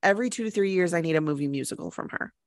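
A woman's voice: lowish voice, lively intonation, very fast, dry recording, studio quality. A woman co-hosting a podcast, rambling casually about a film with lots of "like" and "um". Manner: chatty, rambling, with frequent likes and ums. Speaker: podcast co-host.

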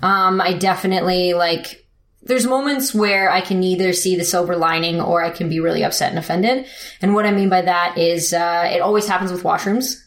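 A woman's voice: fairly low pitch, steady tone, brisk. A young woman telling a personal story in casual conversation, with lots of "like" fillers and frequent laughter. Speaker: interviewee. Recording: echoey room.

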